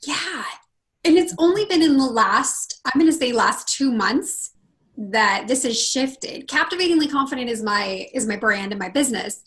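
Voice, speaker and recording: A woman with a husky, hoarse voice, speaking as a podcast guest in a casual interview and acting out quoted dialogue with big jumps in pitch. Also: expressive, moderate pace, a bit bright. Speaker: podcast guest, confidence consultant.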